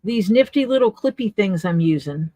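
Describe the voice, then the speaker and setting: A woman talks through her leatherwork on a livestream, chatty and unhurried as she explains each tool. Her voice sits at an ordinary middle pitch. The sound is dry and close, with no room echo. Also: lively intonation, dark tone. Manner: chatty, explains tools step by step while working. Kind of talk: casual livestream chat while crafting. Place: home workspace.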